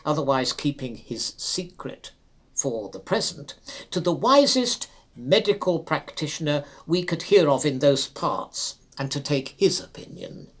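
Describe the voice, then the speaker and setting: A man's voice: a little high, expressive, unhurried, breathy edge. Man reading a literary story, expressively. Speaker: narrator reading a story aloud. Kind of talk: dramatic literary audiobook narration.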